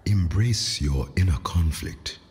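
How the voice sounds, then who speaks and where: An actor playing an imposing, calm, provoking warlord philosopher in a video game. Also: male voice, low-pitched, slow, faint music behind. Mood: calm, challenging. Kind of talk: video game character dialogue. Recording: reverberant hall, faint game ambience.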